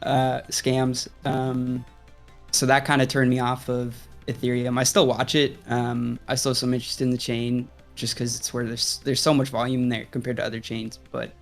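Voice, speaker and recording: A man speaks in an even, flat tone with little rise and fall. He explains casually, with many "um"s. Faint music sits under the stream. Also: medium pitch, moderate pace, creaky. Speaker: streamer co-host. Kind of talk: casual live-stream interview.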